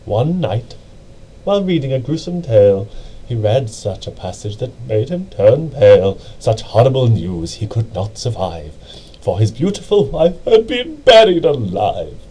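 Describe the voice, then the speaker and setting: A man reading a poem as dramatic narration, with a theatrical, deliberate and brooding delivery. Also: mid-range voice, big pitch swings, dark tone, a little hiss or hum, poor audio. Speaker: amateur reader.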